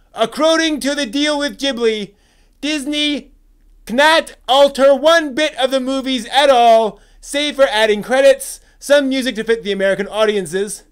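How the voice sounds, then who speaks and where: Man putting on a shrill, outraged anime fan. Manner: emphatic, combative, scolding. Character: outraged, indignant anime fan arguing back loudly. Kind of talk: dramatic reading of online posts.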